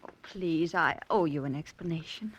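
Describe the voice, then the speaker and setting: A woman's voice: low-pitched, normal speed, lo-fi sound, noisy background, lightly acted. An actress playing an anxious young heiress in a 1940s radio drama, earnest and hesitant as she pleads. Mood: pleading.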